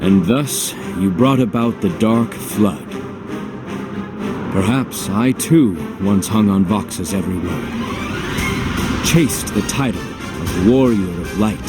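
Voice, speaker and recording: An actor playing a composed, confident warrior in a fantasy game, speaking in a formal, assured manner. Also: male voice, fairly low pitch, takes it slowly. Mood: wry reflection. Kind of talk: scripted fantasy game acting. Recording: music behind.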